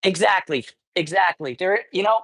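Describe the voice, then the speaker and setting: A man's voice: high-pitched, moderate pace, a bit bright. A man chatting casually on a livestream, ranting and swearing as he talks, with lots of false starts and restarts. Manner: ranting, profane, rambling with many restarts. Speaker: livestreamer and cat rescuer. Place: cat colony.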